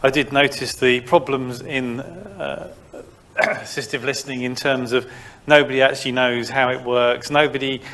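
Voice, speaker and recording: A man's voice: medium pitch, a bit bright. A man moderating an informal industry discussion, talking conversationally and feeling his way through his phrasing. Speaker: panel moderator.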